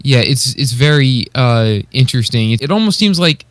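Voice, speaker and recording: A man's voice: medium pitch, animated, moderate pace, no echo. A man co-hosting a podcast, chatting casually in a tentative, hedging way. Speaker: podcast co-host. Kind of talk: casual chat about beatbox competitors.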